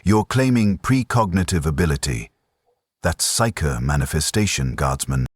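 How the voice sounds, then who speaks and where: Man playing a measured, low-voiced colonel. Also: expressive, slightly rough, no room sound, studio quality, full character voice. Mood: probing. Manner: measured, controlled, firm. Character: senior male colonel, measured, pragmatic commander.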